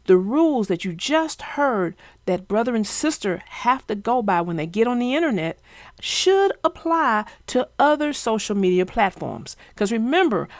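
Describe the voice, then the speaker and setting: A woman reads aloud and explains things to children as their storyteller. Her voice sits fairly high and swings widely in pitch, with a noticeable creak. She is emphatic and instructive, recorded close and dry. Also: no room sound. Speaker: children's storybook narrator and host.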